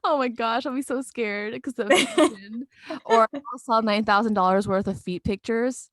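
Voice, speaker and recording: A woman's voice: a little high, moderate pace, fairly bright tone, dry recording, studio quality. A young woman with an American accent, chatting casually and informally as a podcast co-host, giggly in manner. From California, United States. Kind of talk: casual chat.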